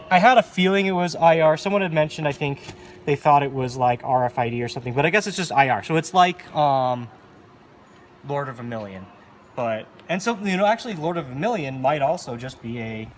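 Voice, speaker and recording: A man hosting an unscripted game demonstration, casually thinking aloud in a halting, rambling way. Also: mid-range voice, a bit bright, slight background noise. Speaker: video host. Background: arcade game music.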